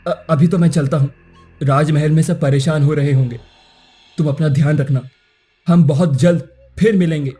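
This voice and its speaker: A man's voice: fairly high pitch, warm tone, slightly rough. A man voicing a kind, righteous young prince in a cartoon story, earnest and formal. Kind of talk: cartoon moral story voice acting.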